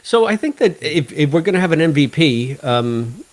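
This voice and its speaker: A man's voice: fairly high pitch, sing-song, normal speed. A man hosting a podcast in a casual, talkative style, discussing and reviewing drama while freely giving his opinions. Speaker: podcast host.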